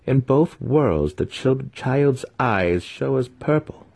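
A man voicing an imperious dark elf matriarch for an audiobook, with a commanding, deliberate and menacing delivery. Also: mid-range voice, lively intonation, creaky, voice pushed up, heavily acted.

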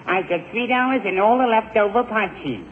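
A man's voice: high voice, lively intonation, a little fast. A man playing an easygoing, folksy middle-aged uncle who drives a milk wagon, in 1940s radio comedy style. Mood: breezy, obliging. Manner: folksy, hollers commands to a horse. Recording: noisy tinny 1940s radio broadcast recording.

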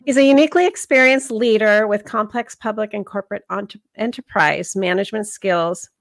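A woman's voice: mid-range voice, moderate pace. A woman hosting a health coaching podcast, reading a scripted intro and then chatting with a guest in an upbeat manner.

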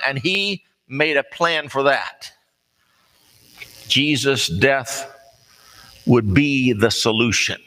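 An American man preaching a sermon in a folksy, conversational storytelling way, plain-spoken and pausing deliberately. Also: on the high side, pushed and bright. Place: large reverberant room, preaching to a congregation. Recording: long hall reverb.

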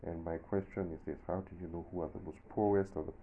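Indignant deep-voiced Nigerian man. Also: fairly even intonation, quick pace, narrow, tinny audio, a little hiss or hum. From southern Nigeria. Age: 40s. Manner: indignant, emphatic. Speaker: commentator. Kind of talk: personal opinion monologue.

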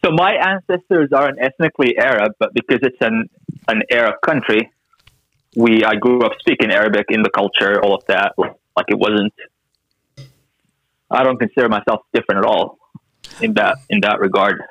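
A man's voice: on the low side, normal speed, pauses often, fairly bright tone, no room sound, dull audio. Dry-joking man from Syria. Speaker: podcast guest. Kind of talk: casual chat.

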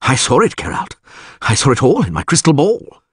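A man voicing an excitable, indignant old wizard in a fantasy audiobook, with a breathy, strained delivery. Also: mid-range voice, slow, dry recording, clean studio sound, pitched above the performer's own voice, heavily acted. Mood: breathless, shocked. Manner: agitated, emphatic.

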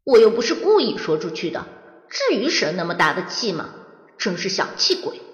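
A woman voicing a defensive, sulky young boy in a children's audio story, speaking loudly and quickly. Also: a little high, sing-song, highs cut off, slight background noise.

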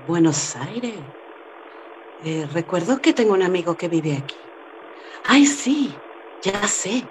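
A woman playing a drowsy, naive, excitable traveller in a radio drama. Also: lowish voice, slow pace, slight background noise. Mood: drowsy, excited.

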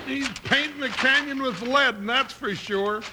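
An actor playing a big, folksy, wisecracking deputy sidekick in an old-time radio western comedy. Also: male voice, very high voice, normal speed. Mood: wry, alert. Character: big comic deputy sidekick, grumbling and loyal. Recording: noisy vintage radio recording.

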